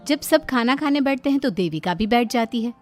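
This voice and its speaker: Female narrator, high voice, scripted moral-story narration with faint music underneath. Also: expressive, a little fast, darkish tone.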